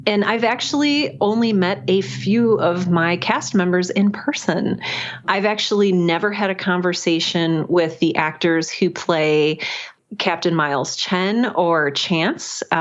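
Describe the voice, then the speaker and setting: The audio is a little dull, as on a remote call. A young American woman talks casually as an interview guest, her voice a bit lower than average. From United States. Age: young adult. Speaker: audio drama creator, podcast guest.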